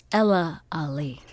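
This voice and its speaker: An actress playing a proud, defiant young activist scholar with articulate, formal diction in an audio drama. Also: female voice, sits low, a little hiss or hum. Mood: poised, guarded. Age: young adult.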